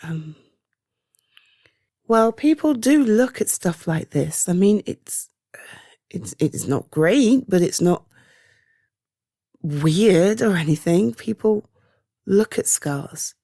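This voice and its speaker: A British woman performing an ASMR roleplay as a caring partner-like confidante, speaking softly and hesitantly with reassurance. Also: mid-range voice, lively intonation, vocal fry, dry recording, studio quality, full character voice. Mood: gentle reassurance. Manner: hesitant, soft reassuring, halting pauses. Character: caring, reassuring woman comforting a loved one. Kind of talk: ASMR roleplay, one-sided intimate conversation.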